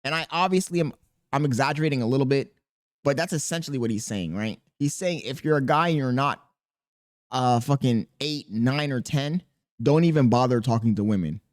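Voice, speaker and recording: A man with a squeaky voice talking casually and profanely to camera as a content creator, rambling and animated. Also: a little fast, no echo, studio quality. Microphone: podcast dynamic mic.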